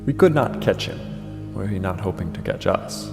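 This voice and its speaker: Guy doing a brooding vengeful king from an old tragedy, gravelly, theatrical, music playing under him. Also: sits low, animated, normal speed. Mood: scheming, cold. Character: vengeful, brooding tyrant king, tormented by rage. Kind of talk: classical tragedy monologue, declaimed audio drama. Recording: long hall reverb, music underneath. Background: dramatic music.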